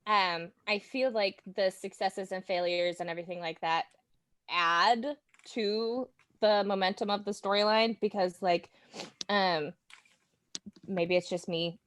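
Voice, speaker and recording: A woman's voice: mid-range voice, fairly even intonation, measured pace, a bit bright, clean tone. A woman speaking informally as a guest in a casual podcast chat about games, acting out little reactions. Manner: informal, imitates sound effects and reactions. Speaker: podcast guest, listener of the show.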